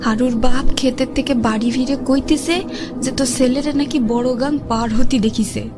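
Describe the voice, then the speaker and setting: A woman's voice: high-pitched, fairly even intonation, normal speed, over music, close to an everyday voice. A woman playing a middle-aged village neighbour bringing news, with a rural Bengali dialect and emphatic, colloquial delivery. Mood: grave reporting. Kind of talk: audio drama acting.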